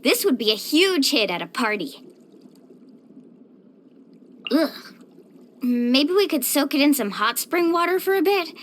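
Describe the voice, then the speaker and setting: A woman voicing an upbeat, caring, sporty young surfer in a video game, speaking in a peppy, expressive, friendly way. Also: high-pitched, moderate pace. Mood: excited, musing. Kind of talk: scripted video game voice acting.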